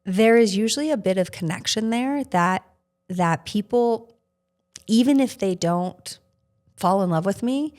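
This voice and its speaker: A woman's voice: mid-range voice, expressive, unhurried, a little mellow. A woman co-hosting a podcast, speaking casually and reflectively in an open, earnest manner. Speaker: podcast co-host answering questions.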